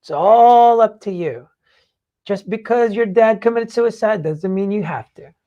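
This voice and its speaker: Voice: mid-range voice, a little slow, dull audio. A podcast host talking casually and emphatically in a rambling way, swearing freely while explaining ideas. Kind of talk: casual talk explaining book takeaways.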